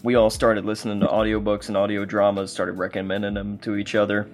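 Guy with a lowish voice just chatting, telling stories, music underneath. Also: completely flat delivery, quick pace. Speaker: guest, voice actor and co-writer. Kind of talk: casual anecdotal chat.

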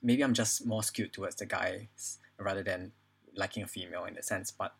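Low-voiced young Singaporean man, chatting candidly. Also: fairly even intonation, normal speed, creaky, husky. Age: 20s. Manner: hesitant phrasing with frequent "you know" and "like". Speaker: podcast guest. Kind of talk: casual personal conversation.

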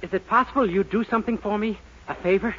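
A man's voice: sits high, steady tone, fast, lightly acted. A man acting a naive, eager young shop employee full of schemes in a 1940s radio sitcom. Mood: eager, scheming. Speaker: comedy show lead. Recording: dull, noisy 1940s radio recording.

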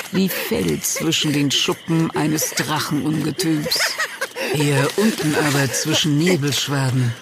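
An actor playing a grave male narrator of a horror tale, telling it in literary phrases with weighty, suspenseful pauses. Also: fairly high pitch, gravelly, noisy background. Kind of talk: dramatic audio drama narration. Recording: very loud background sound effects.

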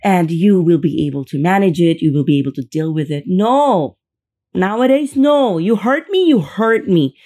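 A woman's voice: on the low side, lively intonation, normal speed, mellow. A young woman hosting her own podcast, talking earnestly and chattily, often repeating words for emphasis. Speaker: podcast host. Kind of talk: casual solo podcast talk.

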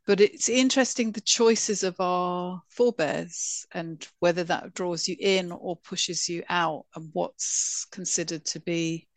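A woman co-hosting a conversation podcast in a somewhat low voice. She reflects aloud as she talks. The recording is dry and close. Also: fairly even intonation, unhurried, few pauses, no echo. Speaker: podcast co-host. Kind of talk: casual conversation.

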